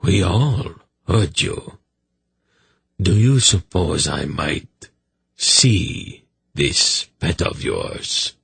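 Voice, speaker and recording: Man playing a slow, crooning, cruel high priest. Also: lively intonation, creaky, fairly bright tone, slightly rough, no room sound, exaggerated voice. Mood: gloating.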